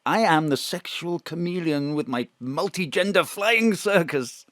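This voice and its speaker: A man's voice: fairly high pitch, unhurried, a bit bright, no echo, studio quality. A man playing a hunted, theatrical stage star. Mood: grand. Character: man who lives as a female star, frightened and hunted. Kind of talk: audio drama acting. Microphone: home studio mic.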